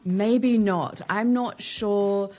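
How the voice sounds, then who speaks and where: A woman's voice: mid-range voice, fairly even intonation, very slow, warm tone. An Australian woman, a guest on a podcast interview, talking candidly and chattily with wry asides. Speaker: guest, LinkedIn strategist.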